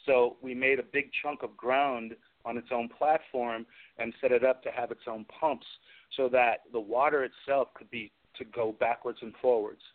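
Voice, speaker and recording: A man's voice: mid-range voice, steady tone, normal speed. A middle-aged man, a guest craftsman, telling chatty anecdotes in an interview and acting out bits of conversation as he goes. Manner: chatty, recounts conversations in quoted dialogue. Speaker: guest, special effects craftsman. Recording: tinny phone-line radio interview.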